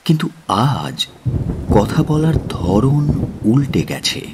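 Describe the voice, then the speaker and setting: A man narrating a literary audio story in a measured, dramatic style. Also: medium pitch, very animated, background noise.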